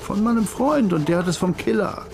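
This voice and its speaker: A man's voice: high-pitched, animated, brisk, creaky, background noise. An actor playing a cagey, streetwise police informant in a radio crime drama, drawing out his story in a teasing way. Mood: cagey, haggling. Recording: music playing behind the voice.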